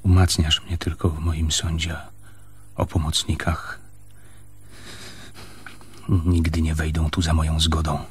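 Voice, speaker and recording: Husky, stubborn, stern lover. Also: male voice, rumbling low, completely flat delivery, slow pace, pauses often, full character voice. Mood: stern, resolute. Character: stubborn, jealous, stern man arguing with his lover. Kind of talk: Polish radio play acting.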